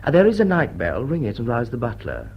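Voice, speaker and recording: An actor playing a suave, teasing lord with urbane, smug politeness in a classic stage comedy. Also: male voice, mid-range voice, huge pitch swings, fairly quick, creaky, husky, muffled recording, full character voice. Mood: teasing, insistent. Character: suave, teasing gentleman host, a dashing lord. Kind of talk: classic stage comedy radio drama acting.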